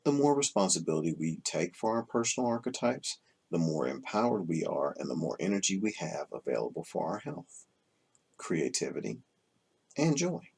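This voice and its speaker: A man narrates a self-help audiobook in a very low voice with plenty of creak. The tone is husky and rough rather than clean. He phrases deliberately, pausing between clauses as he reads from the text. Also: big pitch swings, darkish tone. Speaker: audiobook reader. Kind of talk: scripted narration, reading a self-help book aloud.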